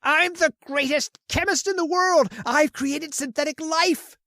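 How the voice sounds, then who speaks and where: A woman's voice: high voice, unhurried, a bit bright, no room sound, studio quality. A woman voicing a manic, arrogant male scientist in a radio horror play, bullying his assistant and gloating in frantic outbursts. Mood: manic triumph. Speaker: voice actor. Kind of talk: old-time radio drama acting.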